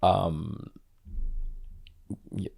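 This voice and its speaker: This is a Singaporean man of thirty-eight with a voice that sits a little low. He talks candidly, as an interview guest does. His phrasing is halting, with words repeated as he searches for the next one. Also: fairly even intonation, gravelly, darkish tone, husky.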